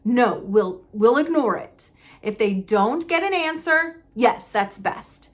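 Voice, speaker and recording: A woman voicing a gruff, blustering middle-aged uncle in a storybook, snapping and sneering. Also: on the high side, expressive, slow. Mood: agitated, firm. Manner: snapping, sneering, forceful delivery.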